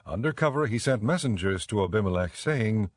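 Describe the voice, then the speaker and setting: A man narrating a dramatized audio Bible in a measured, clearly enunciated storytelling style. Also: on the low side, expressive, dry recording, clean studio sound.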